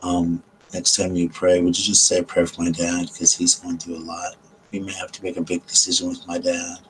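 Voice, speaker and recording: A man with a very deep, low voice talks gently in a near-monotone, filling pauses with soft ums. He chats with his late-night viewers in a quiet ASMR style, and a faint hiss sits under his voice. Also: rough recording. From South Carolina. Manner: talks low and slow, many ums, greets viewers tenderly. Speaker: host of a late-night live chat stream.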